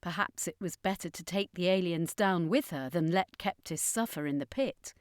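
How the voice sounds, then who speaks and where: Low-voiced woman narrating an adventure story. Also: normal speed, no room sound, clean studio sound.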